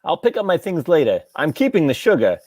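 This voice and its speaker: A woman's voice: sits low, big pitch swings, brisk, a little mellow, dry recording. A woman playing an indignant, self-important male lodger in a theatrical comedy table read, with a pompous manner.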